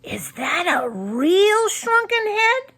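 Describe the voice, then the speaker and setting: Casting: excitable young boy played by a woman, high voice, keen and eager, children's storybook. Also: takes it slowly, heavily acted. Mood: excited, eager. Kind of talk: children's audiobook character voice.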